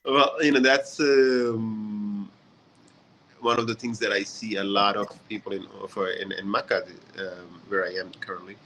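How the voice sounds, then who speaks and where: A man hosting a casual online lesson, relaxed and chatty, with many fillers. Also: lowish voice, a little slow, rough recording. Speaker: session host. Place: hotel in a city abroad.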